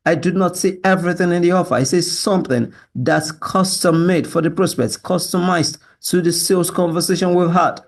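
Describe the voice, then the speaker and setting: A Yoruba-speaking Nigerian man with a learned British accent, pitching himself as a salesman in an assertive monologue, often tagging statements with a questioning yeah or right. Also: fairly even intonation, moderate pace, few pauses. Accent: learned British with Nigerian influence. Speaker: sales closer, job applicant. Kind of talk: self-presentation monologue to camera.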